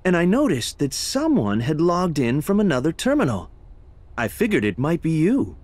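A studious young scientist in a video game. He is polite and articulate, with a highish, varied pitch and a dry, close sound. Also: wildly swooping pitch, moderate pace, darkish tone, dry recording.

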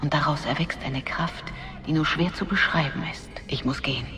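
A woman acting a solemn, hushed older female mentor. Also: low voice, normal speed, natural voice. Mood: solemn, encouraging. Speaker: voice actress in a video game. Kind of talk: German fantasy game dubbing. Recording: clear music behind the voice.

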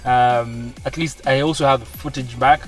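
A man's voice: medium pitch, fairly even intonation, normal speed, distant mic, soft background music. A man in his twenties with a Kenyan accent, talking casually to camera as a vlogger, earnest and emphatic. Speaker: vlogger, social media personality. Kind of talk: casual vlog talk to camera. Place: inside a parked car.

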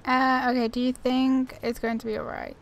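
A woman's voice: high voice, little pitch movement, normal speed. A woman giving casual commentary as she plays a video game. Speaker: gamer, video host.

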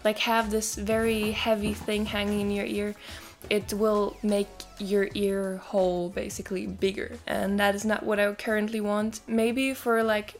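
A young woman chats to her camera in a small wood-paneled room, loose and conversational, peppering her sentences with "like". Her voice sits in an ordinary middle register. Soft music plays faintly underneath. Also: mid-range voice. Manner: chatty, frequent "like", casual swearing. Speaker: vlogger. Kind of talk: casual haul video chat.

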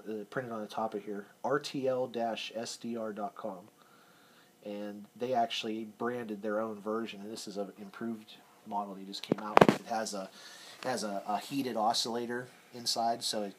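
A man giving a casual, hands-on technical demonstration, explaining informally and sometimes trailing off. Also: lowish voice. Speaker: radio hobbyist demonstrator. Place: cluttered indoor radio workshop. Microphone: handheld camera, off screen. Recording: noisy room, handheld camera recording.

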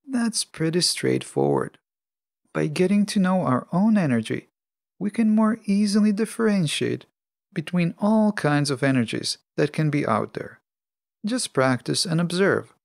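A man hosting a self-help podcast alone, speaking in measured, deliberate phrases. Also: a little high, gravelly, darkish tone, no room sound, clean studio sound. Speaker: podcast host.

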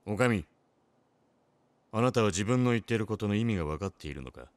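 An actor playing a cool, calculating samurai commander in a game drama, formal, clipped and authoritative. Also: male voice, on the low side, lively intonation, fairly quick, no echo, close to an everyday voice. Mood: stern. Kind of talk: dramatic game cutscene acting.

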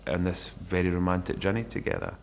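A man's voice: deep voice, lively intonation, fairly quick, gravelly, background noise. A man in his forties giving conversational commentary as an interview guest.

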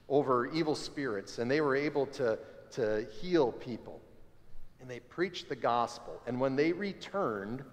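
A man in his forties preaching a sermon as pastor, conversational and animated, standing by the altar of a church sanctuary. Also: mid-range voice. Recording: long church reverb.

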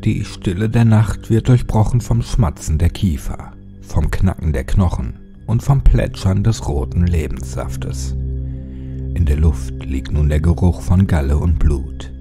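A man narrating a scripted horror story in a dramatic, suspenseful manner with deliberate phrasing. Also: low voice, mellow, soft background music.

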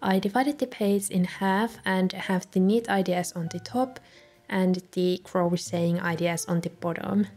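Soft-spoken woman, Finnish native speaker. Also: mid-range voice. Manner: soft-spoken, light laughs between remarks. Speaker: journaling video creator. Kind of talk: calm voice-over narration. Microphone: voice-over recorded separately.

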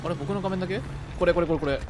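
High-voiced, excitable man streaming a game. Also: racing, background noise. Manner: excitable, exclaiming, often repeats short phrases. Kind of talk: casual co-op game chat with live reactions. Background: loud video game music and sound effects.

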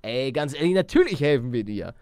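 A young man giving casual, slangy video game commentary as a let's play host, animated and chummy with his viewers. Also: mid-range voice, brisk, clear, resonant tone, no echo.

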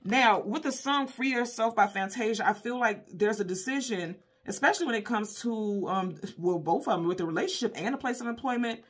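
A woman's voice: a little high, a little fast, few pauses, breathy edge. A woman hosting a casual, intuitive tarot reading, talking to viewers in a conversational, emphatic way and often repeating her points. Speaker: tarot card reader and host.

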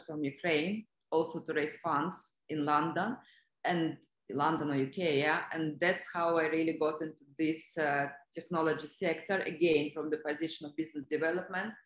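A woman with a Russian accent and a low-pitched voice talks conversationally as an interview guest. She is on a video call, and the room echoes around her voice. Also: steady tone, normal speed, fairly bright tone. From Russia (Siberia). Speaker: interview guest, business development professional. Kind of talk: conversational interview, telling career story.

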